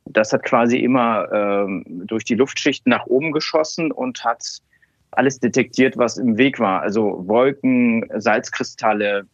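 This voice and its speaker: A man's voice: mid-range voice, a little slow, dry recording. Man, from Cologne, interview guest, hesitant fillers, dry, dull sound. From Cologne, Germany. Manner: thoughtful, many fillers and restarts. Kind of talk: relaxed conversational interview.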